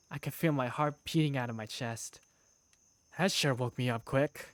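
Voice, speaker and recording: A woman's voice: very deep voice, no echo. A woman playing an affectionate young working big sister, narrating her own story in a visual novel fan dub. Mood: weary, unsettled. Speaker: narrator and protagonist. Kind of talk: first-person visual novel narration and dialogue.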